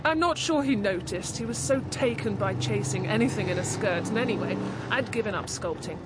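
A woman's voice: medium pitch, brisk, close to an everyday voice. An actress playing a blunt, idealistic young bohemian woman in a radio drama, speaking in firm declarations. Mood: dismissive. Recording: loud background noise.

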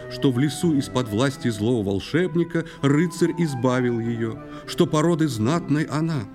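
Male narrator, expressive unhurried verse, children's audio play, clearly audible music behind. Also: medium pitch.

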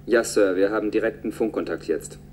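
Male actor as matter-of-fact starship radio technician, obedient, lowish pitch, noisy background, radio play. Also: fairly quick, darkish tone, lightly acted. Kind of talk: German science-fiction radio drama acting.

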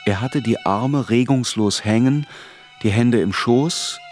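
An actor playing a man recalling his youth, narrating a literary radio drama in a measured, grave, reflective way. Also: medium pitch, darkish tone, soft background music, lightly acted.

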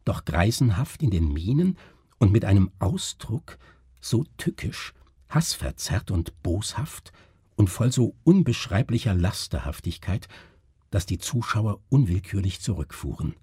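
A man's voice: lowish voice, big pitch swings, unhurried, dark tone, husky, dry recording, clean studio sound. A man giving a dramatic literary narration for radio.

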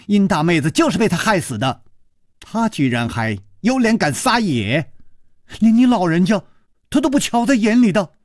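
A man voicing a spoiled, quarrelsome young married woman who shouts and whines tearfully. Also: high voice, huge pitch swings, normal speed, breathy edge, no room sound, clean studio sound, voice pushed up, full character voice. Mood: indignant.

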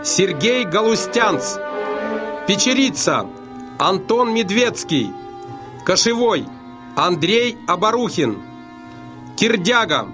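A man announcing a radio drama in a formal, measured style, reading scripted credits. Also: high-pitched, bright, forceful tone. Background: music behind the voice.

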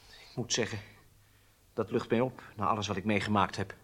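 An actor playing a weak-willed, cornered spy who confesses, hesitant and submissive, in a radio drama. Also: male voice, lowish voice, steady tone, brisk, fairly bright tone. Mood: confessing.

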